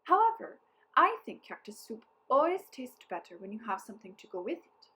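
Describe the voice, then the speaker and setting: A woman's voice: a little high, very animated, fairly quick, bright, forceful tone. A woman voicing a cheerful, sly male army captain in a children's story, coaxing and exclaiming.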